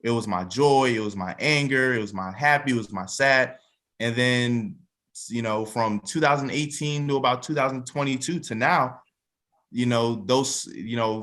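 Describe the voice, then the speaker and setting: A man's voice: medium pitch, fairly bright tone. A man in his twenties chatting casually in an interview, often repeating phrases for emphasis. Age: 20s. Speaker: musician and songwriter interviewed as a cast member.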